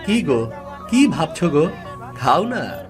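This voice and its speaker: A man's voice: fairly high pitch, sing-song, slow, music in the background, a crowd in the background. A man voicing a mild, long-suffering village family man in a cartoon, with a folksy manner. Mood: agreeable. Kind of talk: cartoon story voice acting.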